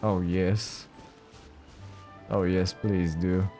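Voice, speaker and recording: A game streamer reacting casually and effusively. The voice is soft-edged and dark in tone, with a slow pace and faint music behind. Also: low voice, flat delivery. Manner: effusive, gasping, exclaiming. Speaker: let's play streamer.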